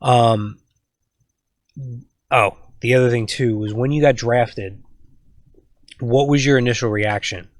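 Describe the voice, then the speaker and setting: Brief: man, mid-pitched, relaxed interviewer, casual and curious, dry close sound. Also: slow pace, pauses often, no echo. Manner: curious, loose questions with many fillers. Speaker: grandson interviewing his grandfather.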